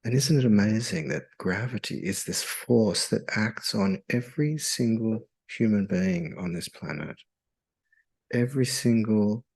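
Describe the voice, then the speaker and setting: A man leading a guided meditation in a soothing, hypnotic, measured way with long pauses. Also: fairly low pitch, vocal fry, husky.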